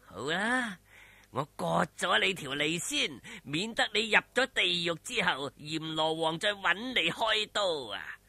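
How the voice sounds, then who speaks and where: A man's voice: high-pitched, expressive, unhurried, gravelly, bright, forceful tone, full character voice. A man voicing a treacherous older martial-arts master in a storybook telling, sneering and drawing out his taunts. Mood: mocking threat. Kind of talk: Cantonese audio storytelling.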